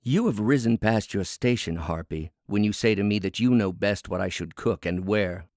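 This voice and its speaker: A man's voice: lowish voice, normal speed, a little mellow, no room sound, clean studio sound, lightly acted. A man voicing a proud, defiant working woman, a cook in a storybook fable, speechifying with indignant emphasis. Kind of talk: dramatic storybook fable reading.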